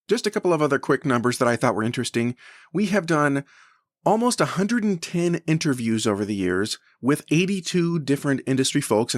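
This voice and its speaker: A man's voice: medium pitch, dry recording, studio quality. A man hosting a podcast in a conversational, enthusiastic manner. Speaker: podcast host.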